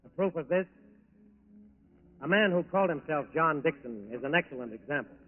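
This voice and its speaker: American male narrator, fairly high voice, 1940s crime-show delivery; reverberant, narrow-band vintage recording. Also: lively intonation, a little fast.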